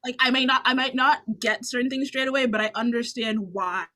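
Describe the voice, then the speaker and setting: A woman's voice: high voice, brisk, sharp tone. A woman who grew up speaking Dutch, chatting casually as a podcast co-host. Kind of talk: casual chat.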